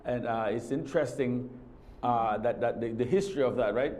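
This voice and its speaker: A man hosting a live morning TV show in a studio, talking casually with guests and often repeating words as he goes. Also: medium pitch. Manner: halting, repeats words, warm welcomes.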